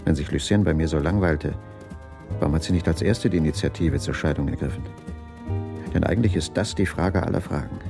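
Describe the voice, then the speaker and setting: An actor playing a troubled, evasive husband in a German radio crime drama, speaking hesitantly. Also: male voice, a bass voice, brisk, creaky. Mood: brooding. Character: troubled, evasive husband, a dining-car waiter. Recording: music under the voice.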